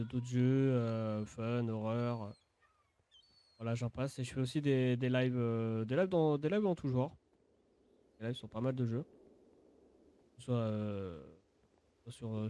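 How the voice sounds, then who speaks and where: A man's voice: medium pitch, warm tone, clean tone. Casual male gaming commentator. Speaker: gaming video creator.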